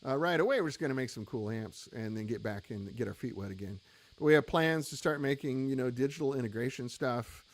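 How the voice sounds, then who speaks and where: A man's voice: mid-range voice, animated, brisk. Rambling man in his late fifties. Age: 50s. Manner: rambling and candid, with many fillers. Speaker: amp maker hosting a live web show. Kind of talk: casual live-stream chat reading viewer comments.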